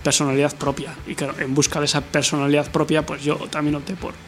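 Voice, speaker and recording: A middle-aged musician from Bilbao, answering interview questions thoughtfully, with many fillers and tag questions. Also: male voice, a little high, level tone, fast, fairly bright tone, a little hiss or hum. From Bilbao, Spain. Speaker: musician guest.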